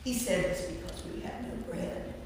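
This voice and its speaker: A woman's voice: normal speed, darkish tone, lightly acted. Woman as distant, echoing puzzled disciple. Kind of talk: amateur staged scripture reading. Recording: faint, distant, echoey church with room noise.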